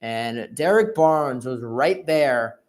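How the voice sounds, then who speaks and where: A man's voice: medium pitch, animated, takes it slowly. A man giving informal, conversational analysis as co-commentator on an amateur live football broadcast.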